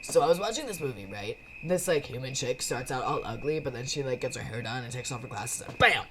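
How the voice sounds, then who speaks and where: Woman acting out a video game cat, lowered voice, laid-back, rambling slacker slang, halting, eager schemer, noisy background. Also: animated, a bit bright. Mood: rambling, scheming. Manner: halting, stoner-like slang delivery.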